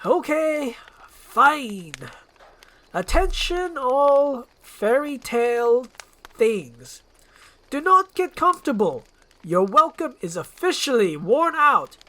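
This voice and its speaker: An exasperated ogre who wants to be left alone acted out by a woman. The voice blusters and exclaims, jumping well up in pitch and swinging widely through a dramatized story. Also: sharp tone, exaggerated voice. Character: grumpy, exasperated male ogre who craves solitude. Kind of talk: dramatized screenplay reading.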